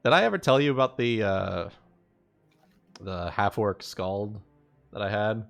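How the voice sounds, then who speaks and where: A man talks casually in a group livestream at an unhurried pace. His medium-pitched voice is animated and rises and falls freely, and he swears easily. Music plays faintly behind him. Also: vocal fry. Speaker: game master.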